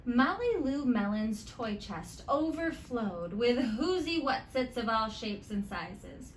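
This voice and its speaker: Higher-voiced woman reading a picture book. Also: big pitch swings, slow, a little hiss or hum. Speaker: children's read-aloud teacher. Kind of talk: expressive picture-book read-aloud with asides. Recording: roomy, echoey indoor sound.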